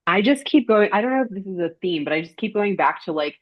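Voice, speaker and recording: A woman's voice: medium pitch, lively intonation, fast talker. A woman talks casually as a guest on a podcast panel. Her delivery is informal and rambling, and the audio is slightly dull and lo-fi. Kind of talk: casual chat.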